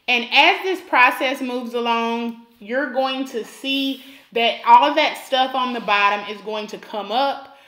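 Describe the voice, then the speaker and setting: A woman's voice: fairly high pitch, a little slow, bright, forceful tone, echoey room. A woman from Alabama hosting a cooking tutorial, talking the viewer through each step and repeating points for emphasis. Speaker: cooking channel host.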